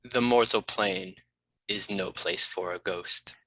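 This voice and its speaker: A man voicing a haughty talking cat in an animated comedy, speaking slowly and pompously. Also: sits low, no room sound, heavily acted, rough recording. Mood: haughty, dry. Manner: slow, pompous. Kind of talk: animated comedy table read.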